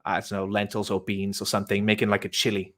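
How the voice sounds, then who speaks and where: A man's voice: on the low side, monotone, fast talker, a bit bright. A man from Wales with a British accent, talking casually and persuasively in a friendly, informal, encouraging way as a host. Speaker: host, vegan activist. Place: online voice chat.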